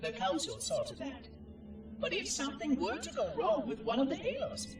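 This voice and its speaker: High, fretful, lonely machine caretaker. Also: male voice, big pitch swings, moderate pace. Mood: musing, uneasy. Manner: prim, precise, musing to itself in formal speech. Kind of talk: theatrical game cutscene monologue. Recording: echoey, distant, loud music behind. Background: loud music.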